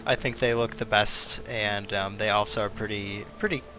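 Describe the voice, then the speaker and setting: A man's voice: on the low side, steady tone, moderate pace, poor audio. A man giving casual gameplay commentary as a streamer, rambling and thinking aloud as he goes. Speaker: game streamer. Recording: game music behind the voice. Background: video game music.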